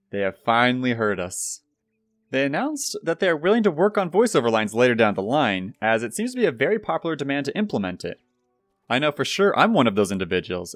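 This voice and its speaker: A man's voice: on the high side, no echo. A young adult man narrating gaming news in a scripted, upbeat presenter style, addressing viewers directly. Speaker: YouTube gaming news host. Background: background music.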